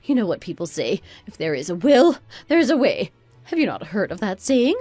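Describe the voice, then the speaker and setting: A woman's voice: medium pitch, very animated, fairly quick, a little mellow, breathy edge, no echo, faint music behind. A woman playing a manipulative, self-pitying older mother-in-law who wheedles in a melodramatic radio drama. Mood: wheedling. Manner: wheedling, guilt-tripping. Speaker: voice actor in an online radio drama.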